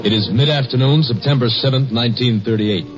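A man's voice: medium pitch, a little fast. A man narrating the setting of a 1950s radio drama in a scripted style. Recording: muffled old radio recording. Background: loud dramatic music.

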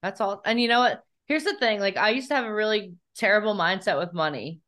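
A woman's voice: mid-range voice, brisk, a bit bright. A woman hosting a podcast, chatting in an effusive, friendly way with her guest. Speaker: podcast host. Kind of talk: casual interview talk.

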